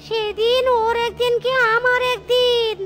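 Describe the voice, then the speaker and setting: A woman's voice: very high-pitched, steady tone, a little slow, fairly bright tone. A woman voicing a blustering but easily cowed guard ghost in a children's cartoon, with a whiny manner. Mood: aggrieved.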